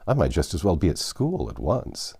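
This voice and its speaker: Storybook little girl, male reader performing: timid, polite, puzzled, mellow tone, very lively intonation. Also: low-pitched, moderate pace, husky, exaggerated voice. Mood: put upon. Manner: polite, hesitant. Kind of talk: storybook character voice.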